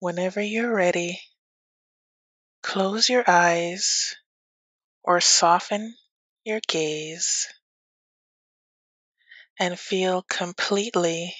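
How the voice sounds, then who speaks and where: A woman teaching yoga nidra as a guided meditation, speaking gently and soothingly with long pauses. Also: on the low side, dry recording.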